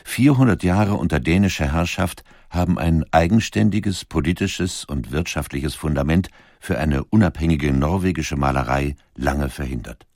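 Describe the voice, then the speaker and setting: A man reading scripted documentary narration in a measured, factual way. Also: a bass voice, vocal fry, a little mellow, no echo, studio quality.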